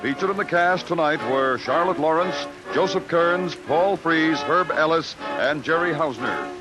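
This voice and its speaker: Dramatic American radio announcer over loud music. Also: male voice, a little high, measured pace, rough recording. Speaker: radio suspense show announcer. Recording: old radio broadcast recording.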